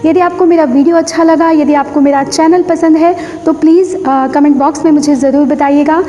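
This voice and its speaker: A woman speaks in a high-pitched voice, presenting tips as an instructor. She explains step by step and restates key points for emphasis. The recording carries a long, echoing room reverb. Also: fairly even intonation, brisk, dark tone, soft background music. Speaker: host of a public speaking tips channel. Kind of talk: instructional talk to camera.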